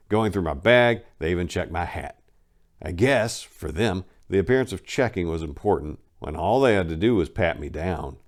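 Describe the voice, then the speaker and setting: A man giving a dramatic reading of a first-person book, telling it conversationally and punching emphatic words before dropping low at phrase ends. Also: a little mellow. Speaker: reader of a memoir.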